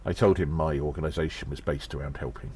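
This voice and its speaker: A man playing an earnest undercover gnome agent in an audio drama, reporting in a measured, halting, formal and conspiratorial way. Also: very deep voice, steady tone, a little mellow, husky. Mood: confiding, measured. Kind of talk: first-person audio drama monologue, spoken report.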